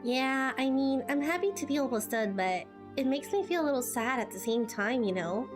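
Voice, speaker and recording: Woman acting a spirited teenage girl adventurer, fairly high. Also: expressive, moderate pace, over music. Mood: thoughtful. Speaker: amateur voice actor playing through a game. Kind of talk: amateur game dialogue voice acting.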